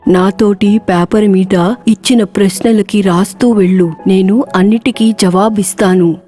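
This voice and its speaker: A woman voicing a calm, helpful talking magic pen in a cartoon storybook. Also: mid-range voice, a little slow, soft background music, voice pushed down, natural voice.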